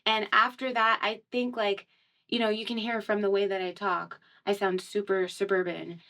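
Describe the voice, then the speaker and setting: A woman's voice: medium pitch, flat delivery, moderate pace, pushed and bright. A young woman from Miami talks casually in a radio studio, guesting as a singer. Her speech is chatty and meandering, full of fillers, with frequent light laughs. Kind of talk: casual conversational interview.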